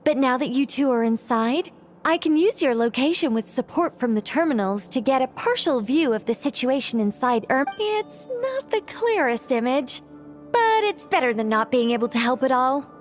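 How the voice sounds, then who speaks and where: A woman voicing an earnest, articulate young female guide in a video game, polite and expressive. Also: high-pitched, normal speed, soft background music. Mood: composed, helpful.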